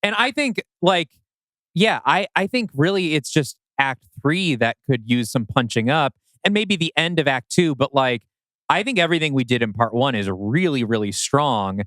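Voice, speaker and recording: A man's voice: medium pitch, animated, moderate pace, a bit bright, no room sound. A man co-hosting a podcast in casual creative discussion. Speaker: podcast co-host.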